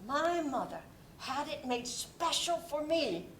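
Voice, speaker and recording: A sweet, kooky older neighbour lady, acted by a woman in a stage play reading. Her voice is very high and swoops expressively while she takes her time, fussing gently like a mother. There is faint noise behind her. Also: big pitch swings, exaggerated voice. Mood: fond reminiscing. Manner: slow, fussing, full of endearments. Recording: faint background noise and rumble.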